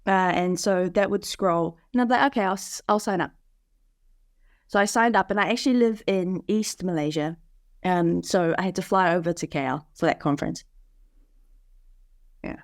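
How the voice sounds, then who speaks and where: A podcast guest from New Zealand, a woman with a fairly low voice, tells her story with lively energy and frequent restarts. The sound is dry and close, as on a video call. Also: moderate pace, long pauses, no room sound. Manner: animated storytelling with many fillers and restarts. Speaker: podcast guest, parish leader. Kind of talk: casual conversation over video call.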